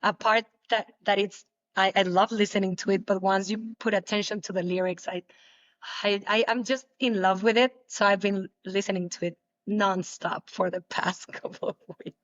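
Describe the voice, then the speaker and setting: Halting native Spanish-speaking woman guest. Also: medium pitch, fairly even intonation, no echo, dull audio. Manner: halting, repeats words, laughs often. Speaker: guest, pole dancer. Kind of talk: casual interview answers.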